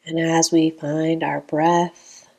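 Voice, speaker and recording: Slow, low-voiced woman guiding meditation. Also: vocal fry. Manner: calm, gentle, unhurried with long pauses. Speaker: meditation guide. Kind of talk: guided meditation narration.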